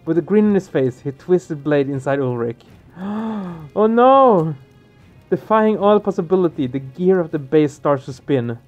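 A man's voice: on the high side, animated, unhurried, phone-quality sound, faint music behind. A man hosting a game let's play, expressively reading the story aloud and reacting to it.

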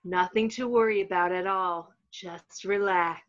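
A woman's voice: mid-range voice, fairly even intonation, measured pace, fairly bright tone, lightly acted. A woman voicing a flamboyant, villainous male fashion mogul in a theatrical, sing-song, commanding way for a comedic script read. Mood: soothing, hypnotic. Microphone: video call. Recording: muffled lo-fi video call.

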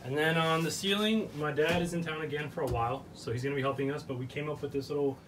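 A man's voice: on the high side, fairly even intonation, fast, a bit bright, slight background noise, rough recording. A young man co-hosting a van build vlog, explaining his work in a plain, practical way. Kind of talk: casual explaining and chat. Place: bus interior under construction.

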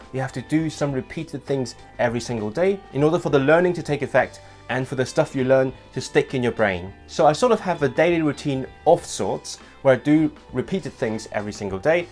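A young man, not a native English speaker, hosting his own language vlog, talking to camera in a small home room and gesturing as he speaks. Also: mid-range voice. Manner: animated, gestures with hands while talking. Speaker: language vlogger host. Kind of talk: casual scripted vlog talk to camera.

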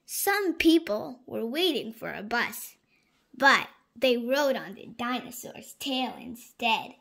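A woman reading a picture book aloud to young children in an animated, emphatic way. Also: a little high, creaky. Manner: slow, animated, with exaggerated emphasis for small children. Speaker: storybook reader for young children. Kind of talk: expressive read-aloud narration of a picture book.

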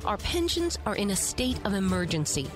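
Female intro announcer with a lively, emphatic read over clear backing music. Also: mid-range voice, normal speed. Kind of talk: scripted promo intro.

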